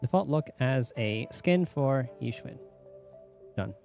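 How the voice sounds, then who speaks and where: A male game streamer with a medium-pitched voice. He chats casually and rambles in an offhand way over faint background music. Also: animated, slow pace, creaky, darkish tone. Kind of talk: casual gameplay commentary.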